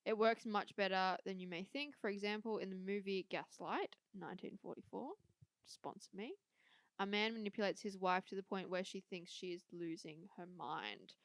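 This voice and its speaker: A young woman talks through a casual podcast chat, full of fillers and offhand swearing. Her voice is smooth and clear, recorded close and dry with no room sound. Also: medium pitch, normal speed, no room sound. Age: young adult. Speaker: podcast co-host.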